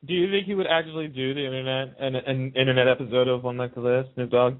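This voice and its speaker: A man's voice: medium pitch, steady tone, fast, no room sound. A man speaking casually as a guest on a radio show. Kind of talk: casual chat. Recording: narrow phone line.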